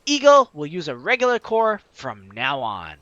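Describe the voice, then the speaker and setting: A man's voice: fairly high pitch, huge pitch swings, moderate pace, pushed and bright, dull audio. A man hosting a let's play, expressively reading a story game's text aloud and reacting with enthusiasm. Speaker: gaming video host.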